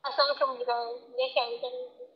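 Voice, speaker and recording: High-pitched young Maharashtrian woman on phone. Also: level tone, a little fast. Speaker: call recipient. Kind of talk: casual phone chat. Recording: slightly dull phone call audio.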